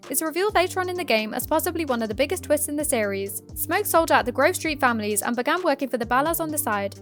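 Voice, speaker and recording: High voiced woman narrating a countdown video, talks quick, music under it. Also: fairly bright tone.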